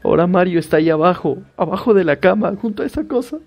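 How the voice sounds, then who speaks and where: A man voicing a frightened young woman who pleads and stammers in panic, in a gravelly recorded horror story. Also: high voice, lively intonation, fast, darkish tone. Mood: terrified.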